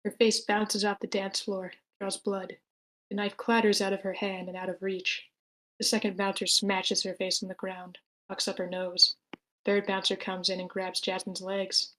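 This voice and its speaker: A woman's voice: medium pitch, monotone, moderate pace, fairly bright tone, low-quality recording. A woman reading stage directions in a matter-of-fact way at a screenplay table read.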